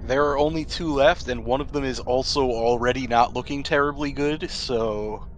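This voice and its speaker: A man's voice: mid-range voice, normal speed. A man running a tabletop role-playing game among friends as game master, narrating the action and ruling on dice.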